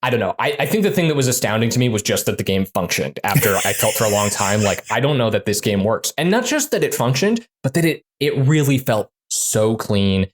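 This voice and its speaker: A man who designs games, talking casually in an interview, full of fillers and quick restarts. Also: mid-range voice, big pitch swings. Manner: chatty, many fillers and restarts. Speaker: game designer guest.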